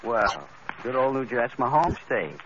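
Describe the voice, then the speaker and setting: A man's voice: mid-range voice, very animated, normal speed. A man hosting an amateur talent segment on a 1930s radio variety show, genial and sometimes fumbling for words. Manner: genial, hesitant ad-libbing. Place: theater stage before an audience. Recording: dull old radio recording with live crowd.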